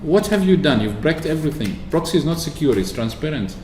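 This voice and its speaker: A man from Oman chatting casually through a software walkthrough, explaining with fillers and restarts. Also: a little high, lively intonation, fairly quick, slight background noise. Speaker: tech video host. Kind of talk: screen-recorded software walkthrough. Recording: echoey room with faint ambience.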